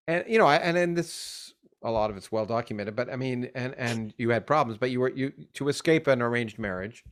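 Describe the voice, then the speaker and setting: A man in his sixties hosting a podcast interview, talking in halting, stop-start phrases. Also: mid-range voice, clean tone. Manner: halting, many restarts and fillers. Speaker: podcast host. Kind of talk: interview conversation.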